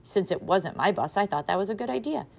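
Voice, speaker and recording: A woman teacher reading a children's novel aloud to a class, with expressive, varied loudness. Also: mid-range voice, fairly quick, vocal fry. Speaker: third grade teacher.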